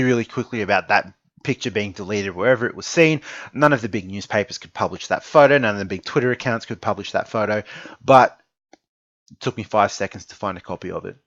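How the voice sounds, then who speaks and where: A man's voice: mid-range voice, fairly bright tone, no room sound, dull audio. An Australian man of twenty-nine giving an informal opinion monologue, earnest and emphatic, repeating phrases for stress. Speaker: esports content creator giving an opinion piece.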